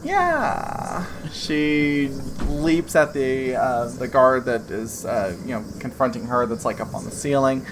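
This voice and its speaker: A woman's voice: extremely low pitch, big pitch swings, slow pace, hardly any pauses, background noise. A woman narrating a tabletop game as its game master, rambling with lots of fillers and laughing often.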